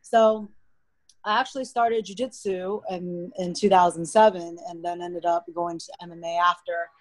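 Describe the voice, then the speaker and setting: A young American woman whose first language is Mandarin, telling stories in a relaxed, chatty way as a podcast guest. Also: lowish voice, moderate pace, dry recording. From United States. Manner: relaxed, chatty storytelling with frequent "like". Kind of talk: casual interview conversation.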